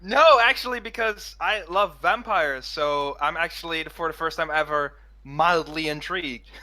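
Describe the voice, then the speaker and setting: A man's voice: a little high, moderate pace, bright, forceful tone. A man chatting casually as a podcast co-host, often cutting his own sentences short. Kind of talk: casual chat.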